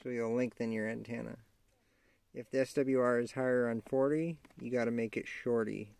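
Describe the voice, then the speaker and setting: A man talking through a hands-on tutorial in a casual, halting way, thinking aloud as he works. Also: medium pitch, steady tone, darkish tone, no echo. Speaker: amateur how-to demonstrator. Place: inside a parked vehicle. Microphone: handheld camera mic. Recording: clearly noisy amateur camera audio, clicks.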